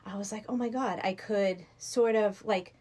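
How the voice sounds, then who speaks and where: Chatty middle-aged woman, medium pitch. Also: moderate pace, darkish tone. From Massachusetts, USA. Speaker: podcast guest. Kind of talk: casual chat.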